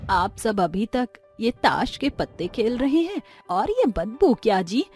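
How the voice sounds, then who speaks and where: A woman voicing a scandalized, scolding middle-aged mother-in-law in an animated storybook tale, indignant and reproachful. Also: on the high side, a little slow, faint music behind.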